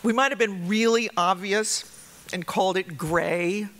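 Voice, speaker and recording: A woman's voice: mid-range voice, measured pace, fairly bright tone. A woman introducing a speaker at a conference, reading a scripted introduction with dry, teasing humor. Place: conference lecture hall.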